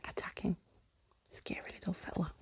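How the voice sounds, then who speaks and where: A woman reading a storybook in a soft, breathy ASMR whisper, hushed and soothing. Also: normal speed. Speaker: ASMR reader.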